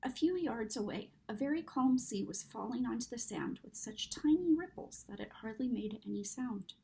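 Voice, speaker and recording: A woman reading a children's story aloud in an expressive, dramatic narrating style. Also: fairly high pitch, normal speed, gravelly. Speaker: story reader.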